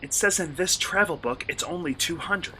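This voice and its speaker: A man playing an eager, polite college student and hobby vlogger in a radio play, excitable and earnest. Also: fairly high pitch, moderate pace, a little hiss or hum, poor audio. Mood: pleading, earnest. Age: young adult.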